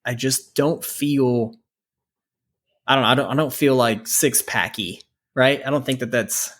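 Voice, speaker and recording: A man's voice: medium pitch, steady tone, measured pace, a bit bright. A man in his thirties co-hosting a podcast in casual, wry chat, repeating phrases for emphasis. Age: 30s. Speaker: podcast co-host.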